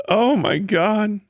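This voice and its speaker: High-voiced man, sweary and incredulous. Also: slightly rough, no echo. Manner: breaks often into laughter while talking. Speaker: live streamer. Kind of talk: casual rant and commentary to a stream audience.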